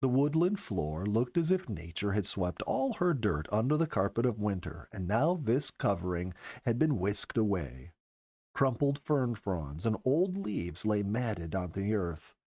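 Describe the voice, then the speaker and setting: A man reading a story as a scripted narration, in an expressive storyteller's manner. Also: on the low side, unhurried, no room sound, studio quality. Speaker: storyteller, show regular.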